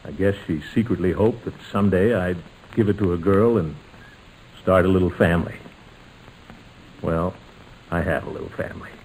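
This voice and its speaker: An American actor playing a sentimental middle-aged uncle in an old-time radio sitcom, speaking haltingly and deliberately. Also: male voice, deep voice, darkish tone, lightly acted. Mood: warmly ceremonious. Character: sentimental middle-aged uncle and family patriarch, hesitant, tender. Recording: noisy vintage radio recording.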